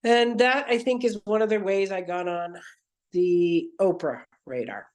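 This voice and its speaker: A woman speaking as a podcast guest, earnest and wandering from thought to thought. She often restarts her sentences as she goes, and her voice sits at a middling pitch. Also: unhurried, a little mellow. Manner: earnest, digressive, frequent self-corrections. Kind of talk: conversational interview storytelling.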